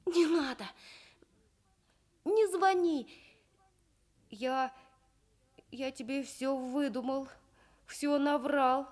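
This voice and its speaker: A woman voicing an excitable, evasive schoolboy who blurts out stubborn excuses in a children's radio story. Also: high voice, extremely slow, pauses often, pitched above the performer's own voice. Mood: guilty, pleading. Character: schoolboy Kostik, evasive, pleading, excitable little boy.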